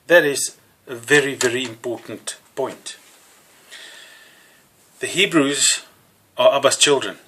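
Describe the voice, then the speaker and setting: Slow, bright-voiced Afrikaans-preacher. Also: male voice, mid-range voice, slightly rough. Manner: slow, halting, with many fillers and restarts. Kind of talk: sermon.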